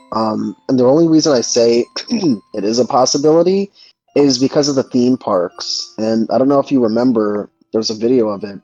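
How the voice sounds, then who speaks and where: Halting man on a dull, lo-fi recording. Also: mid-range voice, dark tone. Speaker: guest in a gaming discussion, aspiring voice actor. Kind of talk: casual chat.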